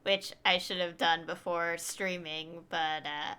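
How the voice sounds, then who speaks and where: Slow, low-voiced woman streaming a game. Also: fairly even intonation, sharp tone, clean tone. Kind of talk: casual live stream chat over gameplay. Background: game music.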